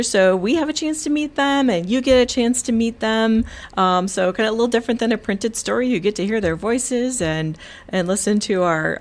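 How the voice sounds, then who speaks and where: Upbeat woman hosting a podcast. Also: medium pitch. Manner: upbeat, welcoming, a few slips. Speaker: podcast host.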